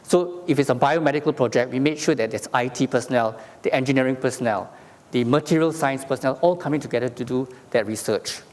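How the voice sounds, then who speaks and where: A man's voice: medium pitch, quick pace, darkish tone. A man from Singapore gives a conference talk in a mid-range voice, explaining his points step by step. He leans on fillers and tag questions as he goes. His voice carries a long reverb from a large hall. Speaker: conference speaker.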